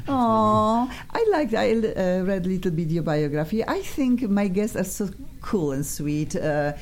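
A woman with a Polish accent hosting a casual studio interview, chatty and effusive, flattering her guests. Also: medium pitch, unhurried. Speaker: radio show host. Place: radio studio.

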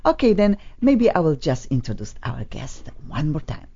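A woman with a Polish accent hosts a talk show from a studio with a low voice that rises and falls widely as she talks. She is enthusiastic and doubles up her words for emphasis. The recording sounds a bit dull and lo-fi. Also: very animated, moderate pace, warm tone, husky. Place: studio on a top floor.

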